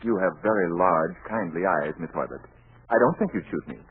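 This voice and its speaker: An actor playing a prim, bookish, easily flustered professor in a 1940s radio comedy, with formal, pedantic diction. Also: male voice, low-pitched, lively intonation, a little fast, husky, dry recording, heavily acted. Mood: shyly smitten. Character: prim, flustered, bookish male professor, naive about slang. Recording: old 1940s radio recording, narrow band.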